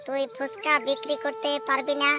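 A woman voicing a scrappy, excitable fox in an animal cartoon fable, with expressive, exclamatory delivery. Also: sits high, moderate pace, over music, close to an everyday voice. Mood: urging, worried. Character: clever poor fox couple, scrappy and excitable.

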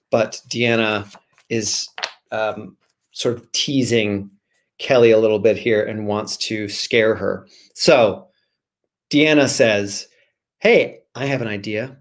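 A man's voice: fairly low pitch, slow. A man in his forties speaking as a guest author on a video call, chatting casually and reading from a storybook. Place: small room at home, indoors. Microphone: video call, computer at arm's length.

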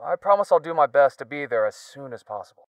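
A man's voice: fairly high pitch, animated, quick pace, no echo, muffled recording. A man voicing an apologetic, busy young friend making a call in a visual novel game. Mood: apologetic, hesitant.

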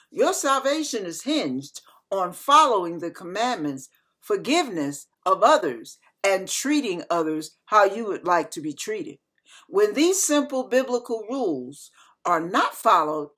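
Female minister for devotional read: unhurried, husky-edged voice, earnest and instructive. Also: mid-range voice, fairly bright tone. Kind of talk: scripted devotional sermon.

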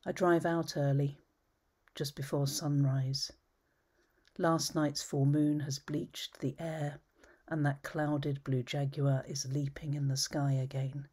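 A woman reading her own poems at home, measured and thoughtful, often trailing into a whisper. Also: deep voice, level tone, a little mellow, breathy edge. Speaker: poet. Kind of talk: poetry reading. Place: home study with bookshelves, indoors.

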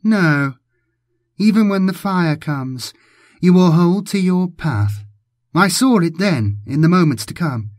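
A man's voice: high-pitched, animated, takes it slowly, darkish tone, no echo, studio quality, voice pushed up. A man voicing an eerie, sad female mutant who speaks in cryptic prophecy, as an audiobook character. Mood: sad, foreboding. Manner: cryptic, portentous.

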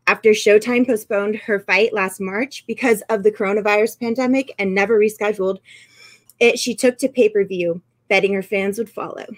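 A woman's voice: medium pitch, fairly even intonation, normal speed. A woman in her thirties co-hosting a sports talk show over a video call, in a casual style. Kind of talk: casual chat and sports report.

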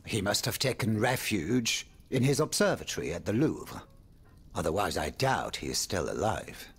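Game role: worldly French nobleman. Husky, highly expressive, theatrical and courtly, with worried, confiding asides. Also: normal speed, heavily acted. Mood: worried, musing. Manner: theatrical, courtly, swinging between exclamation and confiding murmur. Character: urbane male French aristocrat, worried, wry, world-weary. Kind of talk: dramatic video game dialogue.

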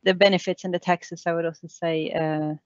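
A woman's voice: fairly low pitch, little pitch movement, fairly quick, no room sound. Polite woman moderator on a dry headset. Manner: polite, with fillers. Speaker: webinar moderator. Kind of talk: moderating a webinar. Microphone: headset on video call. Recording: dry, dull headset audio.

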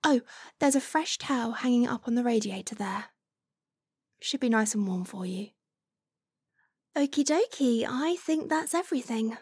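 A woman playing a doting, teasing motherly figure in a soft-spoken ASMR roleplay, coaxing and playful with breathy near-whispers. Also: on the high side, lively intonation, normal speed, long pauses, no room sound, clean studio sound. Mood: caring.

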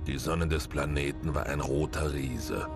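A man's voice: very deep voice, measured pace, music in the background. A man reading scripted narration for a science-fiction audio drama.

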